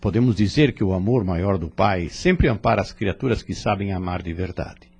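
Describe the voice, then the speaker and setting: A man playing a wise, serene spirit instructor in an audio drama, teaching in a solemn, measured way. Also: deep voice, lively intonation, creaky, darkish tone, breathy edge, exaggerated voice. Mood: tender, reverent. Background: music.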